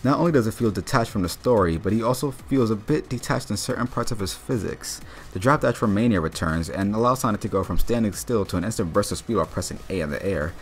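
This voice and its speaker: A man with a notably low voice delivers scripted game review narration at a fast clip. He works through his points methodically, like a channel host explaining each feature in turn. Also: lively intonation, a little hiss or hum.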